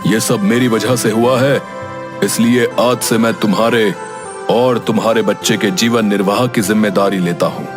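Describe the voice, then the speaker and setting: A man voicing a slow-witted but kindly village wrestler with a simple, plain-spoken manner in an animated folk tale. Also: lowish voice, natural voice. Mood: remorseful. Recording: music behind the voice.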